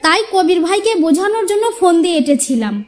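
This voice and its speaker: A woman's voice: very high-pitched, lively intonation, fast talker. A woman playing a crafty, teasing young housewife and daughter-in-law in a cartoon fairy tale. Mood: playful.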